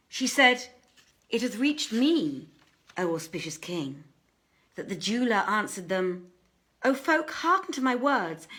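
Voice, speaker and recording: A woman reading an old literary tale aloud as a storyteller, with expressive, measured phrasing. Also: mid-range voice. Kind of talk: dramatic live literary reading.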